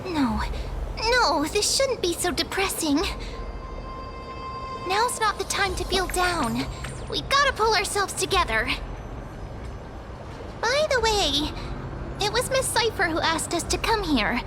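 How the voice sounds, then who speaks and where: A woman's voice: very high-pitched, big pitch swings, slow pace, long pauses, over music, exaggerated voice. A woman voicing a small, cheerful but easily startled young girl in a video game, given to nervous stammers. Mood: curious, wary.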